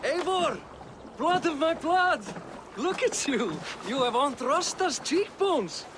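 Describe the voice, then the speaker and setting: A man's voice: extremely high pitch, lively intonation, slow pace, background noise, full character voice. A man playing a hearty, affectionate Viking king in a video game, exuberant and declamatory. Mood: joyful. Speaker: king character. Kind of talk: dramatic video game acting.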